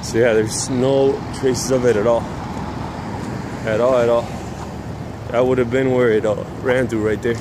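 A man's voice: medium pitch, flat delivery, unhurried, long pauses. A man vlogging as he walks city streets at sunset, rambling and restarting, recorded on a handheld camera mic. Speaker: vlogger exploring old rail sites. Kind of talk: casual walking commentary. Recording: outdoor traffic noise. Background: street traffic.

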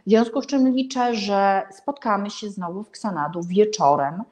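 A middle-aged woman gives an informal presenter's talk indoors, thoughtful and halting, often correcting herself as she goes. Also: medium pitch, a little mellow. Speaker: gallery host reviewing books. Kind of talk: informal video talk, book recommendation. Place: indoor room with paintings.

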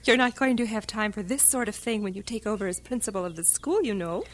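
A woman's voice: mid-range voice, animated, quick pace, lightly acted. An actress playing a frank, bustling missionary woman and matchmaker in an audio drama, forthright in manner.

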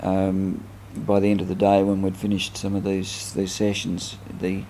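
A man's voice: deep voice, monotone, moderate pace, dark tone, a little hiss or hum. A man, a singer from India, talking in an interview about recording his album in a studio. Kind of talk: documentary interview. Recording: music playing behind the talk.

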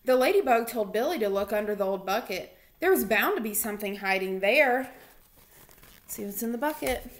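A woman's voice: on the high side, animated, moderate pace, long pauses. A young woman reading aloud for a children's storytime, recorded at home in a small room on a computer mic. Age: young adult.

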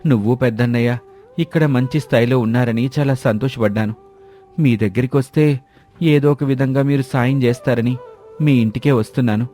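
A man voicing a destitute, humble village cousin who pleads gratefully in an animated moral story. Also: medium pitch, fairly quick, soft background music, natural voice. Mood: pleading, sorrowful. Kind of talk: dramatised moral story dialogue.

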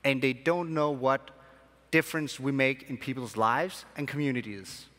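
A young man, born in Sri Lanka and raised in Denmark, giving a keynote speech to a convention audience from a large hall stage with measured, emphatic phrasing. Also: medium pitch, fairly bright tone. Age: young adult. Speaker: conference speaker. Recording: long hall reverb.

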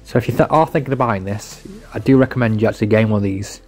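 A man's voice: fairly low pitch, racing, a little mellow, faint music behind. Casual, rambling man reviewing a product. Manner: informal, rambling, repetitive.

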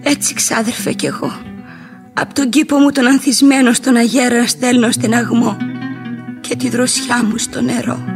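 A lovelorn young woman speaks in a high voice over music. She is tender and anguished, declaiming poetic lines in radio theatre style. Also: steady tone, gravelly. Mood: lovelorn, wistful. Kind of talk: lyrical radio theatre verse acting.

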